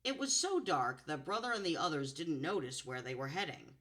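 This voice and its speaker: A woman with a low contralto voice reading a storybook and chatting afterwards about her voices, expressive and playful. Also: fairly quick. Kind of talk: storybook narration and chat.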